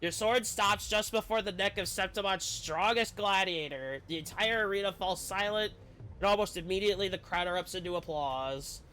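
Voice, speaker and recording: A man's voice: high voice, normal speed, sharp tone. A man streaming a game, reading the story text aloud with casual commentary and the odd stumble over words.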